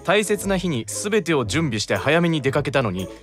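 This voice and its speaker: Wildly expressive Kansai man, slightly high voice. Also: normal speed, faint music behind. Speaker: podcast guest, voice actor. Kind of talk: casual joking chat, reading listener letters.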